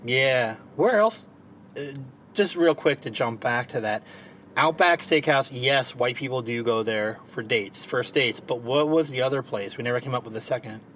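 A man's voice: medium pitch, normal speed, fairly bright tone, a little hiss or hum. A man with a Baltimore accent hosting a casual podcast, joking and swearing freely. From Maryland. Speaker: podcast host. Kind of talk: casual chat. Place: parked car. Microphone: home podcast mic.

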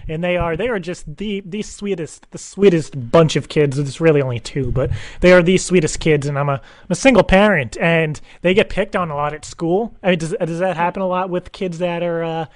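A man putting on a clueless, doting single father with a fairly high voice. He rambles through halting, repetitive questions as on a prank call, recorded close and dry. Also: no room sound, close to an everyday voice. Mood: earnest, rambling. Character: rambling, clueless, doting single dad asking about karate lessons. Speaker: radio show prankster. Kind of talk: improvised prank phone call.

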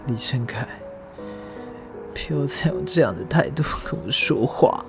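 A man's voice: mid-range voice, lively intonation, measured pace, gravelly, over music. An actor playing a flustered, stubborn young man with no sense of direction in an audio drama, stammering when upset. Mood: indignant, stubborn.

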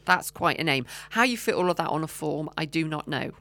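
A woman's voice: sits low, animated, a little fast, fairly bright tone. A woman co-hosting a podcast in casual chat, often trailing off with ums and restarts. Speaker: podcast co-host.

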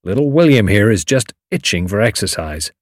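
A man voicing a confident, excitable young American adventurer with a slangy drawl, in an audiobook story. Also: lowish voice, moderate pace, dry recording, clean studio sound. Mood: breezy, confident.

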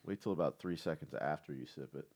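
A man's voice: extremely low pitch, fast, a little mellow. A man chatting casually as a co-host, trading jokes and banter with friends. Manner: joking banter. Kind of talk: casual chat.

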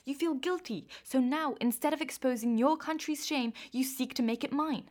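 A stern Turkish official in a radio play, acted by a woman. The voice sits a little high. The official questions and lectures, accusatory and sarcastic. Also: moderate pace, darkish tone, lightly acted. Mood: probing, sarcastic.

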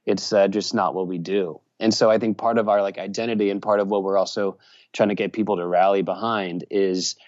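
Brief: man from Virginia, musician, rambling answers, lowish voice, lo-fi sound. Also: steady tone, fairly quick, a little mellow. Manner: rambling, many fillers and restarts. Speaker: musician and journalist. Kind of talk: casual interview answers.

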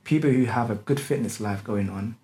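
A man's voice: fairly low pitch, fairly quick, mellow. A young man, talking candidly in a casual podcast chat, swearing and using street slang.

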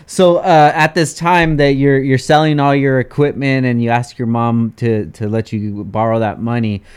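A man hosting a casual interview, relaxed and encouraging, often calling his guest "man". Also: mid-range voice, normal speed, smooth. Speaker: interview host.

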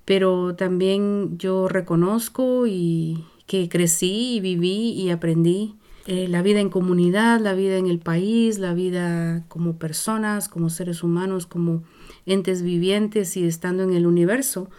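An older woman with a Guatemalan Spanish accent speaks in a fairly low voice. She tells her story slowly and thoughtfully, pausing, restarting and searching for words as she goes. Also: steady tone, dark tone, clean tone. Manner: slow and searching, many hesitations and restarts. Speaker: interview guest. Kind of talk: reflective personal storytelling.

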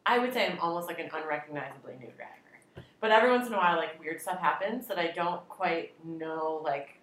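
A woman's voice: fairly low pitch, brisk, fairly bright tone, reverberant. A woman co-hosting a podcast, telling a long self-deprecating story in a casual, rambling way. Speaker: podcast co-host. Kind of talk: casual chat.

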